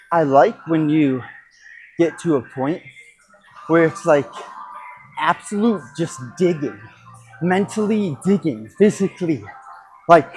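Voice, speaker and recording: This is a podcast host giving a casual motivational talk in a mid-range voice. The delivery is reflective and emphatic. Phrases are broken by pauses and often repeated to drive them in. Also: mid-range voice, darkish tone, poor audio.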